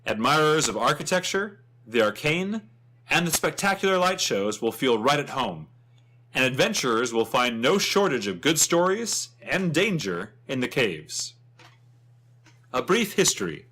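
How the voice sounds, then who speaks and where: A man's voice: medium pitch, big pitch swings, unhurried, bright, forceful tone, exaggerated voice. A man playing a chatty, wryly humorous travel writer, narrating a fantasy guidebook with theatrical expression. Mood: enthusiastic, descriptive.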